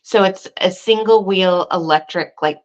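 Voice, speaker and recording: A woman's voice: on the low side, a little slow, dull audio. A woman who protests and livestreams, telling a rambling story in casual chat on a video call. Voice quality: loud voice.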